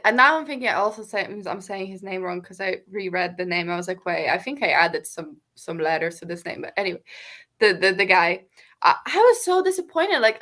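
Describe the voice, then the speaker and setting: A woman's voice: medium pitch, sharp tone. A woman co-hosting a casual book discussion over a video call, rambling with lots of "like" fillers. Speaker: book podcast co-host.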